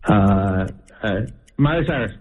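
A man's voice: on the low side, animated, a little slow, a little mellow, soft background music. A man calling in to a radio show as a game contestant, hesitant while guessing. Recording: tinny phone line.

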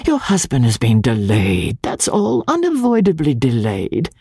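A woman voicing a smooth, patronizing young hotel clerk who flatters and soothes. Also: a bass voice, a little slow, no echo, clean studio sound, heavily acted. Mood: soothing.

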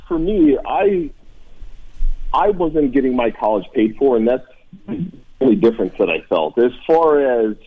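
A man's voice: medium pitch, narrow, tinny audio. An American man, a former college football player, talking earnestly and emphatically as a guest in a conversational sports radio discussion.

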